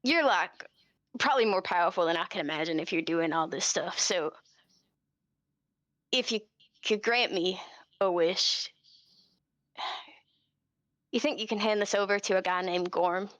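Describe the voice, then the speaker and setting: A woman's voice: lowish voice, a little slow, pauses often, fairly bright tone, dry recording. A woman playing a tabletop game, improvising casually in a hesitant, informal way. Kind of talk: casual improvised role-play.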